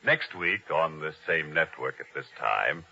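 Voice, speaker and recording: A man's voice: very deep voice, lively intonation, unhurried, vocal fry, heavy background noise. A man announcing in the scripted, formal style of 1950s radio. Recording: dull old radio broadcast recording.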